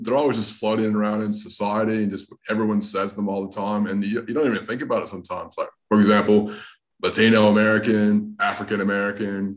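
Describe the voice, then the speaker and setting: A man from North Carolina with a mixed Southern US and Australian accent, a comedian chatting casually as a podcast guest. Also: fairly low pitch. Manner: laid-back, says man and dude a lot.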